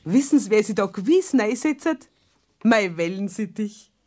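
A woman's voice: medium pitch, expressive, unhurried, a little mellow. An actress playing a persistent, nosy, good-natured neighbour woman with a Bavarian accent in a children's radio play. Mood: chatty, excited. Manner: chatty, insistent.

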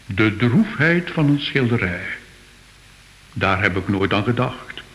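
An awestruck, superstitious art expert in a radio play. He speaks slowly and emotionally, with big swoops in pitch. The old recording carries some hiss. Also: animated. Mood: troubled. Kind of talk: radio drama acting.

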